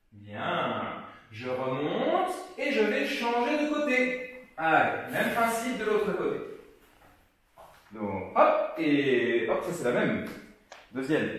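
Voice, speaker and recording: A young man leading a home workout class, counting reps aloud and giving steady encouraging cues as he demonstrates. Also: high voice. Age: young adult. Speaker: fitness coach. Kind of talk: guided workout instruction. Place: gym studio, indoors. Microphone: far camera. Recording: very echoey room, voice from far off.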